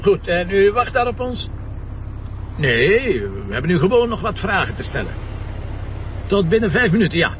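Flemish police boss, impatient, sardonic, animated intonation, muffled with background noise, TV crime drama. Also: male voice, sits high, normal speed, long pauses. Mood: exasperated, urgent. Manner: brusque, sardonic, swearing. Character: gruff, impatient male police commissioner, sarcastic and pressing. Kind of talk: naturalistic TV detective drama dialogue.

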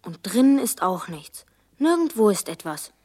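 A performer playing a bold, inquisitive young boy in a children's radio play, eager and insistent, with a husky voice. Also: mid-range voice, very animated, moderate pace, a little mellow. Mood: hushed, daring.

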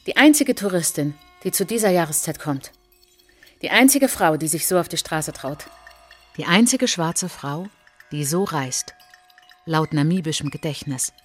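An actress playing a reflective French woman traveller in a radio play monologue, speaking in a measured, intimate, literary way. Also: low voice, expressive, faint music behind. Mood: quiet awe.

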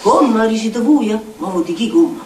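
A woman with a Neapolitan accent performing an expressive theatrical storytelling monologue in dialect, repeating phrases like an incantation. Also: on the high side, normal speed. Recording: echoey distant stage recording with noise.

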